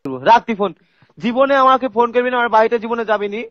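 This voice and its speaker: A man's voice: very high-pitched, fast talker. A man in a heated personal argument on a noisy recorded phone call, speaking bluntly and confrontationally.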